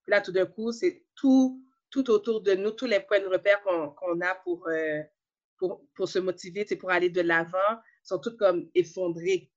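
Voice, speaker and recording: A radio host leads the interview, asking reflective follow-up questions at a middle pitch. The sound is a little dull and lo-fi. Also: fairly even intonation, moderate pace.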